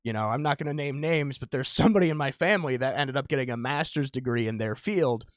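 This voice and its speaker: A man's voice: mid-range voice, fast talker, dry recording. Man in his thirties hosts a podcast; frank and wandering, dry close sound. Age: 30s. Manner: rambling, candid, self-deprecating. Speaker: podcast host. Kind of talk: casual podcast chat.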